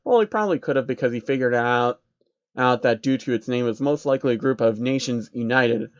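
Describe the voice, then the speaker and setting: A man narrating a comedic fan story in a deadpan way, with wry asides. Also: medium pitch, fairly quick, clear, resonant tone, no room sound, lo-fi sound.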